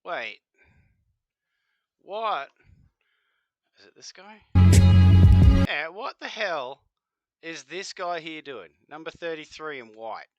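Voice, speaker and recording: A man's voice: on the high side, lively intonation, slow pace, long pauses, sharp tone, muffled recording, faint music behind. A man, rambling and swearing as he hosts a casual reaction video. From New Zealand. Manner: rambling, exclamatory, swears freely.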